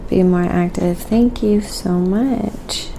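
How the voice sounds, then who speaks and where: Very creaky woman murmuring while she paints. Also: mid-range voice, slow pace, dark tone, slight background noise. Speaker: live-streaming painter and host. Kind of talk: quiet ASMR painting livestream chat.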